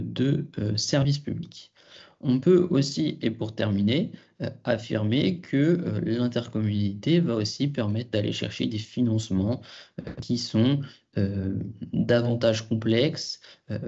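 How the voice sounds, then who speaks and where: A man giving an explanatory lesson as a trainer, hesitant and often pausing mid-phrase. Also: medium pitch, creaky, a little mellow, husky, highs cut off. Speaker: trainer on local government. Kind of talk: explanatory lesson, semi-prepared.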